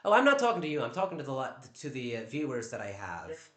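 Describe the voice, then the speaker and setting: A man with a naturally loud voice talking on a live stream at home, defensive and stammering over repeated words. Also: medium pitch, wildly swooping pitch, quick pace. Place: at home in a downstairs room. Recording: echoey room.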